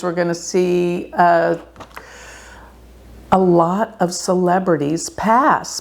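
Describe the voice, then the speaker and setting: A woman with a lowish, gravelly voice speaks slowly and earnestly as an astrologer warning her audience. Her talk is unhurried, with occasional throat clearing. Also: a little mellow. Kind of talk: casual talk to camera.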